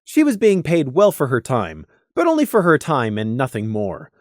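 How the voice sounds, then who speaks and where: Expressive story narrator with a dry, close sound. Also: medium pitch, moderate pace, dry recording. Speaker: audiobook narrator.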